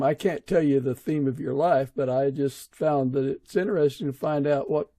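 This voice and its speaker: Male podcast host, middle-aged or older, slightly high-pitched with noticeable vocal fry, casual interviewing. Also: mellow.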